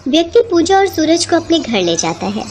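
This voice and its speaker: A woman's voice: sits high, sing-song, fairly quick, darkish tone, slight background noise. A woman narrating a scripted children's moral story as the storyteller.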